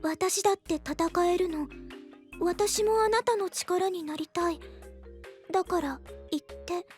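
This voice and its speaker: A woman playing a placid, guileless girl in an anime audio drama, reciting in a calm, unhurried manner. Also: extremely high pitch, soft background music. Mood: placid, teasing. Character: placid, guileless anime girl reading love lines aloud.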